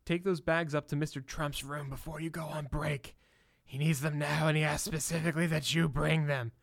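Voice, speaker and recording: A curt, irritable female hotel manager barking instructions, as a man reads her part. The voice stays close to the man's own but comes out a little louder. It is recorded close and dry. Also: on the high side, fairly even intonation, moderate pace, creaky, no room sound. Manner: curt and snappish.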